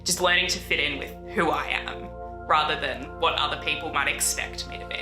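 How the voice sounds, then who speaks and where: A person with a woman's voice speaking thoughtfully in a documentary interview, measured and often saying "you know". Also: deep voice, fairly even intonation. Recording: echoey room with music behind.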